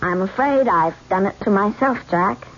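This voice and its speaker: A woman's voice: mid-range voice, animated, moderate pace, natural voice. An actress playing an anxious, easily frightened young woman in a 1970s radio drama. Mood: strained, guarded. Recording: dull, hissy old radio broadcast.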